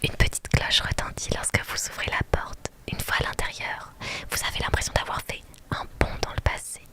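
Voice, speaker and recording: Whispering woman reading a story game. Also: brisk. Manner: soft, breathy whispering. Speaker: streamer. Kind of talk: whispered ASMR read-aloud of a story game.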